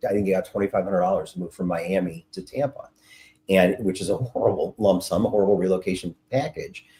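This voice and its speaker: A middle-aged man, a guest on an interview, making emphatic points and reasoning out loud with restarts. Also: low-pitched. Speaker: guest, relocation author. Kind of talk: casual interview answers.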